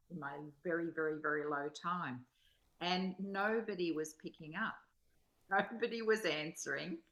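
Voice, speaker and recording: A woman's voice: sits low, a bit bright, low-quality recording. A woman in a spiritual study group, sharing reflectively and reading aloud in an earnest, introspective way.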